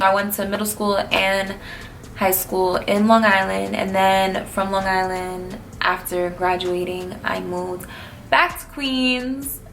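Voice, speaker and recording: A woman's voice: medium pitch, vocal fry, fairly bright tone, a little hiss or hum. A twenty-one-year-old woman from New City, answering viewer questions in a blunt, slangy, laid-back vlog. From New York City. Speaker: vlogger. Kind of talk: casual Q&A vlog.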